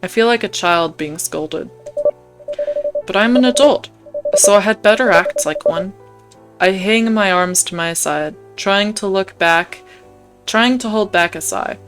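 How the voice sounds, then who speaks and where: A woman's voice: medium pitch, unhurried. A young woman hosting a gaming video, reading a visual novel's narration aloud and adding casual commentary. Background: faint music.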